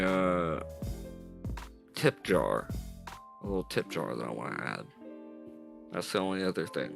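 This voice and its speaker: A man's voice: low voice, gravelly. A man streaming live as he builds a game model, chatting casually and rambling with constant self-corrections, his voice a little stuffy through a headset mic. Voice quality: slightly stuffy. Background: soft jazz music playing.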